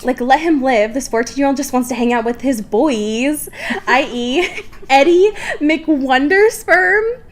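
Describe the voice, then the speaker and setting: High-voiced young woman, playful podcaster. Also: a little slow. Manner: playful, informal, prone to swearing and giggling. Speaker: podcast co-host. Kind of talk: casual comedic fan podcast chat.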